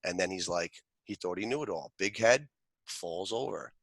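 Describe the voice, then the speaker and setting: Earnest male guest, lowish bright voice, dry close sound. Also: normal speed, no echo. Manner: earnest, reflective, many restarts. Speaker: guest, martial arts grandmaster. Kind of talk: interview conversation.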